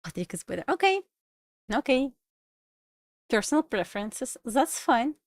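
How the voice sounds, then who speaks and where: A woman with a Russian accent, a native Russian speaker, chatting casually on a trading livestream in halting English with restarts. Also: on the high side, very animated, no echo, studio quality. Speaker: livestream trader.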